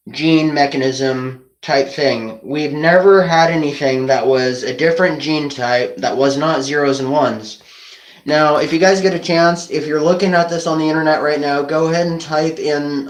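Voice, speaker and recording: A man with a slightly high voice talks casually and at length, as if to an online audience. He sounds earnest and conspiratorial, meandering along and posing rhetorical questions. The sound is roomy and echoing. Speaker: online video creator. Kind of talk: rambling casual monologue to camera.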